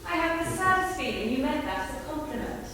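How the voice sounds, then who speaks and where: A woman's voice: sits high, big pitch swings, sharp tone, slight background noise, full character voice. A woman playing a dry-witted writer. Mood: dry, guarded. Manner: dry, cool, quick retorts. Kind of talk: stage play performance. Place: theatre stage. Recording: echoey theatre stage from far off.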